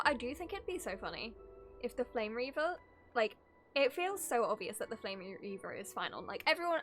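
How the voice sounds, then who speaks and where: A woman's voice: a little high, fairly bright tone. A woman streamer giving casual live reaction commentary to a video game, chatty, rambling and giggly.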